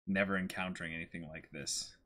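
A man's voice: deep voice, fairly even intonation, fairly quick, sharp tone. A man in his twenties giving a casual, amazed running reaction as he reads a story aloud on a stream. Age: 20s. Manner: exclaims in amazement, acts out dialogue. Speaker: streamer reading a manga aloud. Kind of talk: casual reaction commentary with dramatic reading.

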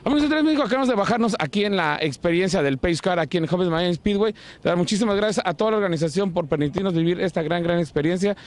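Rapid, high-voiced outdoor reporter. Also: male voice. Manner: energetic, rapid delivery. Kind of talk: upbeat on-location presenting. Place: outdoors at a racetrack. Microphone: handheld mic.

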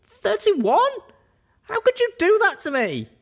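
An earnest young mare from a storybook adventure, as voiced by a male narrator. The voice is pushed very high and swings about, strained and hesitant, with an anxious, rambling temper. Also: wildly swooping pitch, takes it slowly, fairly bright tone, reverberant, far from the mic, exaggerated voice. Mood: indignant.

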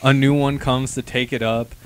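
A man's voice: medium pitch, level tone, moderate pace, clean tone. A young man co-hosting a casual comic chat, thoughtful and a bit hesitant, speaking into a handheld mic in a small indoor studio. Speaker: co-host.

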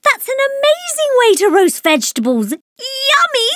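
High, lilting cartoon mama parrot. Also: female voice, huge pitch swings, moderate pace, a bit bright, dry recording, studio quality, heavily acted. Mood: cheerful, gentle. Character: cheerful, gentle, encouraging mother parrot. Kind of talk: children's cartoon acting.

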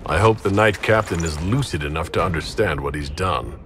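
An actor playing a composed, authoritative leader in a fantasy game, measured and serious in his delivery. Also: low voice, in a large hall, background noise, close to an everyday voice. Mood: stern. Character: composed, authoritative male leader of a holy army. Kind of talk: dramatic fantasy game dialogue.

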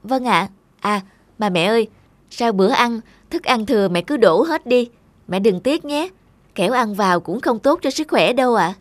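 A woman's voice: fairly high pitch, expressive, normal speed, dry recording. A woman playing an anxious, exasperated young new mother in a cartoon, plaintive and scolding. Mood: gently chiding. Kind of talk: cartoon dialogue.

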